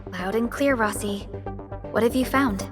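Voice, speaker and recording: A woman voicing a calm, formal young company supervisor in a video game. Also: fairly high pitch, normal speed, natural voice. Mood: businesslike. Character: composed young female industrial company supervisor. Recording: faint music behind the voice.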